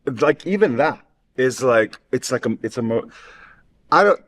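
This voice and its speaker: A middle-aged man from Queens, co-hosting casually and excitably, repeating words for emphasis. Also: medium pitch. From Queens, New York. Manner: excitable, halting repetitions for emphasis. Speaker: talk show co-host. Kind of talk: casual chat.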